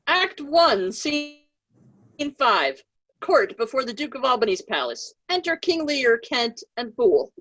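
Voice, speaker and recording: A woman's voice: fairly high pitch, sing-song, slow, a bit bright, dull audio. A woman reading out scene headings and stage directions as scripted narration.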